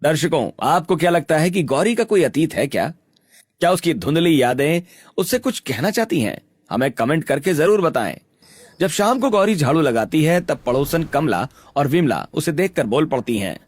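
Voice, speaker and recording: A man reads a scripted cartoon story as narrator. His pitch is a little high and moves about in a lively way. Music runs underneath. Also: moderate pace, no echo.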